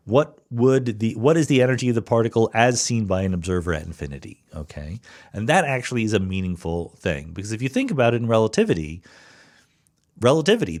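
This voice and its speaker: A man hosting a podcast, patiently explaining physics ideas and often checking in with "right?" and "okay?". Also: on the low side. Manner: thinks aloud with frequent "right?" and "okay?" check-ins. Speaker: podcast host answering listener questions. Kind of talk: explanatory solo podcast talk.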